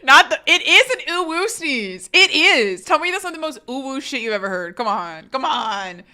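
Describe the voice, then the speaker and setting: A young woman with a bright, somewhat high voice chats loosely with her live audience, full of fillers, restarts and casual swearing. She reads comments aloud and answers them in an easygoing way. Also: moderate pace. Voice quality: says her voice is fairly low and relaxed when tired. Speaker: streamer.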